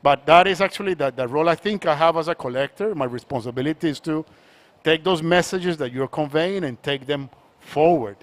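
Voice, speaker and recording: A man from Colombia, an art collector speaking on a panel, talking in a halting way with many repeated words and restarts. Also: a little high. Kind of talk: panel conversation.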